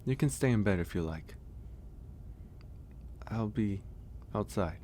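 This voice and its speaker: A man playing a gentle, caring werewolf in an intimate ASMR roleplay, speaking softly and hesitantly with pauses. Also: on the low side, animated, slight background noise. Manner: soft, hesitant, pausing. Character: gentle, caring young male werewolf, shy and teasing. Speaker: audio roleplay voice actor. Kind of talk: ASMR roleplay, intimate one-sided dialogue.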